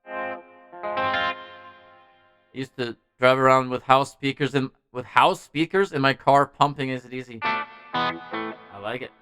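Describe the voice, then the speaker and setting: A man's voice: mid-range voice, slow, pauses often. A man hosting a music show, chatting casually and ramblingly as he answers messages from viewers. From Norway. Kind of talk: casual livestream chat.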